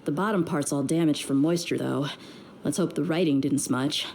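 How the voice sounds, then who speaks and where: A woman's voice: low voice, moderate pace, darkish tone, slight background noise. An actress playing a tough young woman mercenary in a video game, dry and weary with tenderness underneath. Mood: dry, exasperated. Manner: dry, weary, plain-spoken. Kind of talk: video game character dialogue.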